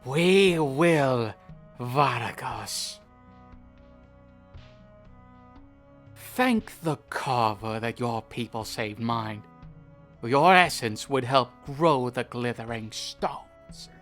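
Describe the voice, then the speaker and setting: A haughty troll warrior from a fantasy audio drama. The voice sits very low but swings expressively, stern and impatient, over background music. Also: female voice, expressive, slow, full character voice. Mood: grudging.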